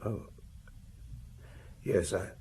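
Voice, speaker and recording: A man playing an anxious, evasive murder suspect in a radio drama, hesitant, halting and defensive. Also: mellow, a little hiss or hum.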